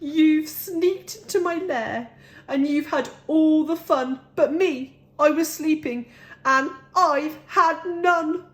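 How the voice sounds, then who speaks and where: Woman voicing a loud, high, whining storybook bear. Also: slow, reverberant. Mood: indignant, hurt. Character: big grumpy bear, self-pitying and blustering.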